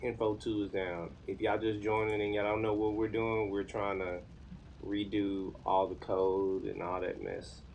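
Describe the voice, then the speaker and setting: A man in his thirties with an American accent talks in a very deep, creaky voice. He thinks aloud informally, as if chatting to a live stream while busy with a task. Noticeable background noise and room ambience sit behind him. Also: expressive, unhurried, a little mellow, muffled recording. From United States. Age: 30s. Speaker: live streamer learning web development. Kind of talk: casual live coding stream chat.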